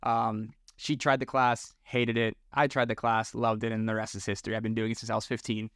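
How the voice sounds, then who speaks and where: A man from Florida, a podcast guest who tells stories in a relaxed, slangy way. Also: fairly low pitch, fairly quick, clear, resonant tone, dry recording, studio quality. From Orlando, Florida, US. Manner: relaxed, slangy, talks with friendly bro energy. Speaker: podcast guest, tattoo shop owner. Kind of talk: casual storytelling chat.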